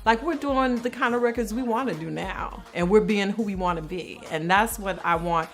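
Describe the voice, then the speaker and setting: A woman's voice: mid-range voice, normal speed. Woman chatting casually over music. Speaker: singer in a family group. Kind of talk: casual reality-show interview.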